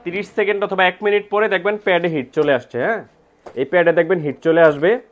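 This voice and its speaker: A man with a Bangladeshi accent presents equipment in an informal sales style. His voice sits a little higher than average, and he explains step by step with hesitant pauses and repeats. The sound is slightly muffled and lo-fi. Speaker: medical equipment seller and presenter. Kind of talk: informal product demonstration and sales pitch.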